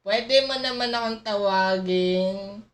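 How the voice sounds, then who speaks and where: A woman's voice: medium pitch, unhurried. A woman with a Filipino accent, chatting casually and playfully as she streams a game, exclaiming and teasing. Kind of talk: casual livestream reactions to a game, mixing languages. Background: game music and character voices.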